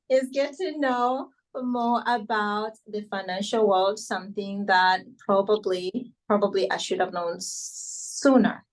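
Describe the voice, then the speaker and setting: A middle-aged woman with a Ugandan accent gives an informal testimonial at an online seminar. Her voice sits at an average female pitch, and she talks in a chatty way, addressing her listeners directly. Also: low-quality recording. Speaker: speaker introducing a coach at an online financial seminar. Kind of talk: informal testimonial and welcome speech.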